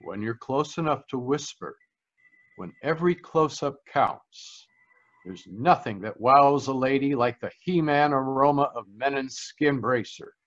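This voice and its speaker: A man's voice: mid-range voice, measured pace, fairly bright tone, highs cut off. A man reading as a radio announcer in a polished, scripted 1940s sponsor style.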